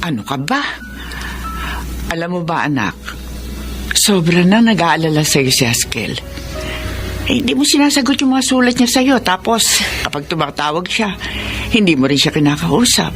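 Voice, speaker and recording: A woman's voice: lowish voice, very animated, moderate pace, pauses often. An actress with a Filipino accent playing a loving, worried middle-aged mother in a radio drama, pleading and motherly. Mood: earnest, coaxing. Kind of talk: Tagalog radio drama acting. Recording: melodramatic music behind.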